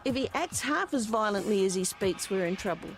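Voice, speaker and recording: Female interviewee, disapproving and measured, mid-range voice, faint music underneath. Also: medium pitch, animated. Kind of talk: interview comments.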